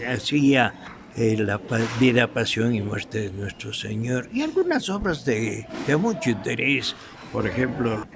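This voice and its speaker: An older man with an Ecuadorian highland accent reminisces in an interview, halting and anecdotal. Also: vocal fry. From Quito, Ecuador. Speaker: veteran radio theatre actor being interviewed. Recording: noisy room recording.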